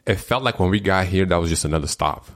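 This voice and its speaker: A man's voice: low voice, fast talker. A young man co-hosting a podcast from his apartment, chatting in an easygoing, jokey way. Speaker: podcast co-host. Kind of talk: casual chat. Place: apartment, seated at a table.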